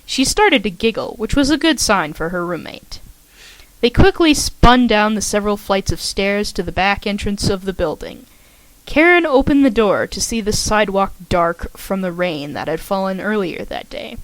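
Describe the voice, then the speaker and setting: A woman narrating a fan-written story in a steady, lowered reading voice. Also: normal speed, no room sound, poor audio. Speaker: fanfiction reader. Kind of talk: amateur audiobook narration.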